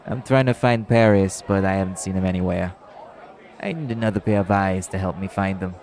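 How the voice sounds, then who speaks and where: A man playing a brisk, businesslike nightclub tailor who talks directly and informally in an audio roleplay. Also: deep voice, fairly even intonation, darkish tone, a faint crowd. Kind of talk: audio roleplay acting addressed to the listener.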